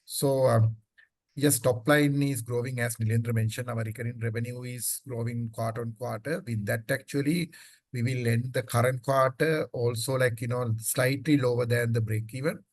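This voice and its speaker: A man's voice: mid-range voice, fairly even intonation, moderate pace, no room sound. A man speaking as a company executive, answering questions off the cuff in a conversational way, often saying "like, you know.". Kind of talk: unscripted business earnings call.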